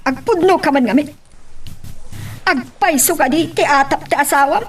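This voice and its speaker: A woman's voice: high voice, moderate pace, natural voice. A woman with an Ilocano accent playing a stern, probing middle-aged mother in an audio drama. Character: stern, probing mother questioning her grown son. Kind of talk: amateur Ilocano radio-style romance drama acting.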